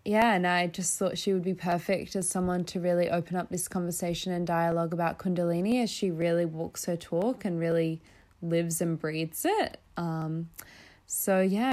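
A woman with a slightly low voice speaks as a podcast host. She gives a casual, conversational introduction, and her delivery is earnest and effusive. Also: darkish tone.

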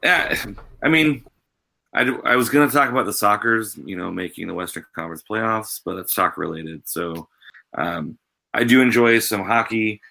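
Man, gravelly deep voice, forceful tone, easygoing co-host. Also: animated. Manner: easygoing, halting. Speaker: podcast co-host, teacher. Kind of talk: casual podcast chat.

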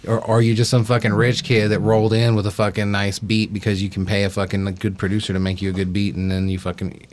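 A man's voice: on the low side, little pitch movement, fast, a little mellow. A man hosting a casual talk show, rambling bluntly and swearing heavily as he goes. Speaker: podcast host. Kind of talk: casual profane chat.

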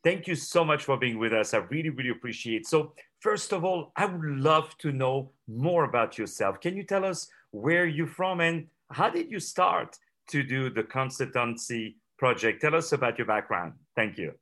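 A man's voice: medium pitch, expressive, normal speed, a bit bright. A man hosting a radio interview, effusive and formal, greeting the audience like an announcer. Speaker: interview host.

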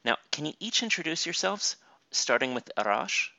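A man's voice: fairly high pitch, very animated, normal speed, creaky, bright, forceful tone, no room sound, muffled recording. A man interviewing a band, asking short questions.

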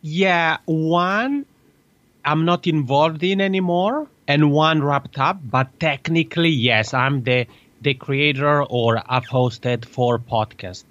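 A man's voice: fairly high pitch, a bit bright, no room sound, highs cut off. A man with an Italian accent, a native Italian speaker in his thirties, chatting cheerfully as a podcast guest. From Italy. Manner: cheerful, chatty, quick with laughs. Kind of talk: casual interview chat.

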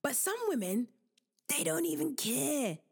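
A young woman hosting a podcast, giving casual relationship advice in an animated, joking and preachy way. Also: high-pitched, unhurried, gravelly. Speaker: podcast host.

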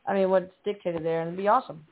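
A woman's voice: fairly low pitch, fairly even intonation, rapid-fire. A woman hosting a call-in radio show, upbeat and encouraging with callers. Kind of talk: casual call-in radio talk. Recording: phone-like radio line.

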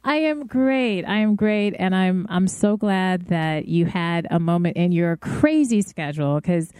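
A woman's voice: on the low side, measured pace, a little mellow, clear, resonant tone, no room sound. Enthusiastic woman co-hosting a podcast. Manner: enthusiastic, effusive. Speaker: podcast co-host. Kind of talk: casual chat.

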